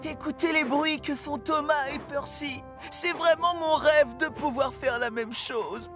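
An earnest, childlike little male locomotive in a children's cartoon. The voice is high and takes its time, wistful and excitable. Music plays clearly behind it. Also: measured pace. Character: eager, wistful electric shunting engine, male, childlike. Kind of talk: children's cartoon dub acting.

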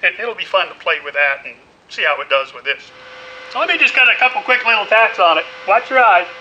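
A man's voice: sits high, animated, fairly quick, noisy background. A middle-aged man giving a casual, folksy welding demonstration in his workshop, full of "you know"s. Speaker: welding instructor. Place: indoor metal workshop.

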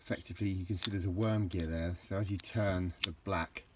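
A middle-aged man with a low voice, rambling through a casual hands-on garden demonstration with lots of restarts. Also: fairly even intonation, brisk, very noisy. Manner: rambling, with many restarts and fillers. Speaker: DIY vlogger. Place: outdoors in a garden.

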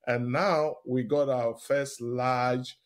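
A man's voice: mid-range voice, fairly even intonation, slow. A man speaking as an expert guest in a radio interview, explaining emphatically and enthusiastically, often checking in with short tag questions.

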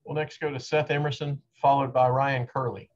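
A middle-aged man moderating a press conference from his office over a video call, briskly calling on reporters. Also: medium pitch, flat delivery. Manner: brisk, businesslike. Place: office, indoors. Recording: dull lo-fi video call audio.

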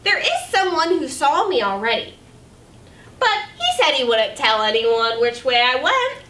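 A young woman reading a picture book aloud as a children's storyteller, with animated, exaggerated delivery, in a small room at a distance from the mic. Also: sits high, a little slow. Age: young adult. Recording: roomy, distant camera mic, faint noise.

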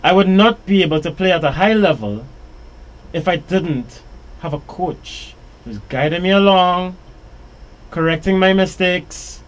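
A Trinidadian man hosting a live radio interview from a small studio, talking upbeat in quick bursts into a boom mic. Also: expressive, low-quality recording. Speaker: radio show host. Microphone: studio boom mic with headphones.

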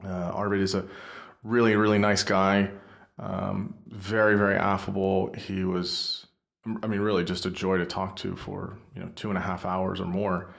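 A man's voice: low voice, little pitch movement, normal speed, gravelly. A man hosting a podcast, introducing his guest and interviewing him enthusiastically with many fillers and restarts. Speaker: podcast host.

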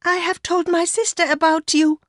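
A woman voicing a timid young woman, meek and hesitant but softly stubborn, in an audiobook story. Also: very high voice, flat delivery, moderate pace, dry recording, studio quality, pitched above the performer's own voice. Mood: anxious, pleading. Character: timid young woman, rich older man's secret lover. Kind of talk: acted dialogue in audiobook story.

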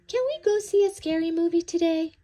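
A woman voicing an excitable, cheeky young boy bunny in a children's storybook, exclaiming dramatically. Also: very high-pitched, brisk, voice pushed up. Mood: eager.

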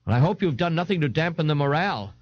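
A man's voice: on the high side, animated, quick pace, no echo, lo-fi sound, pitched above the performer's own voice. A man voicing a pompous, indignant fat man who speaks in a grave, grandiloquent, formal way in an audiobook.

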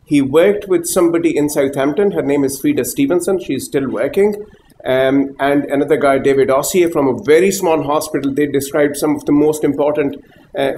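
A middle-aged man from Pakistan, a consultant haematologist, giving a conference talk to patients in a small room, halting and full of fillers. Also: a little high, darkish tone, a little hiss or hum. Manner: hesitant, many fillers, rambling asides.

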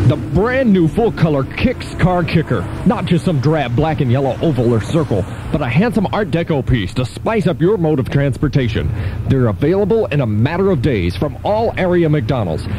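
Very animated man reading a radio promo. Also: on the high side, rough recording. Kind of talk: scripted radio promo read. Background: music.

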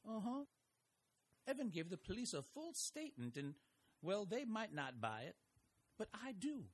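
Actor playing folksy older male investigator, wry, lively pitch. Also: moderate pace. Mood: earnest. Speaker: narrator and investigator. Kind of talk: audio drama narration and acting.

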